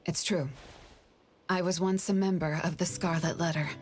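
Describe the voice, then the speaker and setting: A woman voicing a composed, guarded young woman in an anime-style game, serious and measured. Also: fairly low pitch, fairly even intonation, darkish tone, lightly acted. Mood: regretful, subdued. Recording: faint music behind the voice.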